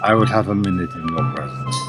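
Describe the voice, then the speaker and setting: A man's voice: sits low, brisk, over loud music, close to an everyday voice. An actor playing a proud, solemn medieval lord and father in a radio play, speaking in a grand, declamatory manner. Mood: grave, solemn. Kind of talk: theatrical period radio drama in archaic English.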